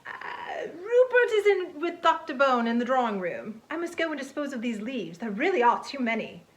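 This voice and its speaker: A woman's voice: high voice, sing-song, normal speed. A woman playing a prim, nice, slightly flustered genteel lady in a dramatized novel reading, polite and hesitant.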